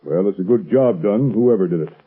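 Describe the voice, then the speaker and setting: An actor playing a brusque, irritable police commissioner with a husky voice in an old radio drama. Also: male voice, fairly low pitch, fairly quick. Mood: brisk, official. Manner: brusque, weary. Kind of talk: 1930s-40s radio drama acting. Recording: tinny old radio recording.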